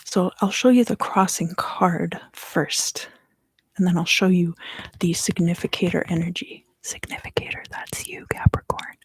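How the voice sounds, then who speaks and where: A woman giving an ASMR tarot reading in a hushed, measured delivery, drifting in and out of a whisper. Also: fairly low pitch, fairly even intonation, breathy edge, dry recording. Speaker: tarot reader and ASMR host.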